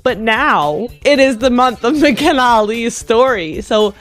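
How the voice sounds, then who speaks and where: A woman's voice: a little high, lively intonation, unhurried, soft background music. A woman chatting in casual, playful banter as a player and co-host of a tabletop game show.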